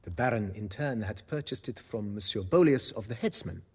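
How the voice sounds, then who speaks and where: A refined estate majordomo for a fantasy game. His voice is very husky and breathy, swinging expressively in pitch as he speaks formally and deferentially, relishing each tale. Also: expressive, moderate pace. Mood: storytelling relish. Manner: formal, deferential, storytelling with flourish. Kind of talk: video game character acting.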